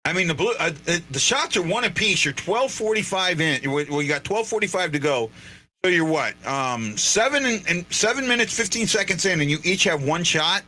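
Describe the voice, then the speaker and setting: A man hosting a live fan show, giving rambling, excitable and sarcastic commentary while watching a game. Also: high voice, normal speed.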